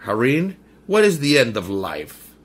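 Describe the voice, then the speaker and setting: An actor playing a menacing male mystic villain in a 1930s-style radio drama, courtly and slow, then threatening. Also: medium pitch, wildly swooping pitch. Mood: suave.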